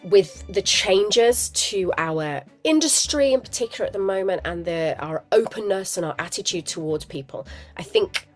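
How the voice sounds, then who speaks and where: An actress, a parent, talking in a chatty, enthusiastic way as an interview guest, husky from a cold. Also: female voice, medium pitch, animated, normal speed, hardly any pauses, soft background music. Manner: enthusiastic, chatty, laughs often. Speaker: musical theatre actress, guest.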